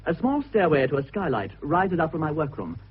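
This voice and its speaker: A tinny radio drama recording carries a formal, self-assured witness. His voice is rough-edged and fairly high, and he answers in a precise, unruffled way. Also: big pitch swings, a little fast. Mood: crisp, dry. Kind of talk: British radio drama acting.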